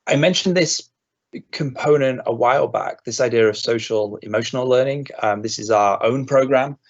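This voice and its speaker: Gravelly low-voiced man presenting. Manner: relaxed, many fillers. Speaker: school presenter. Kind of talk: webinar presentation. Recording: dull lo-fi audio.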